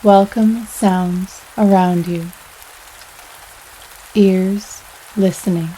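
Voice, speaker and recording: Slow, mellow woman guiding meditation. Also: mid-range voice, a little hiss or hum, low-quality recording. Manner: soothing, unhurried, pausing between phrases. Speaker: yoga nidra guide. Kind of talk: guided meditation. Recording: steady rain sounds over voice. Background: rain sounds.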